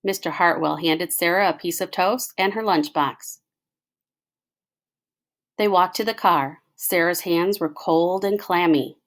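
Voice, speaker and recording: A woman narrating a children's picture book aloud in an expressive read-aloud style. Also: lowish voice, measured pace. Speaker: storybook reader.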